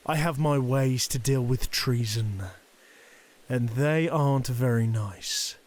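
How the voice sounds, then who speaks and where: A man acts out a stern, middle-aged crime boss in an audio drama. He is proud and formal, taking his words slowly, with a medium-pitched voice built for giving orders. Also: darkish tone, close to an everyday voice. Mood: cold, commanding. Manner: commanding, formal, deliberate. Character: stern, proud Yakuza boss, a conflicted father. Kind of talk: audio roleplay drama acting.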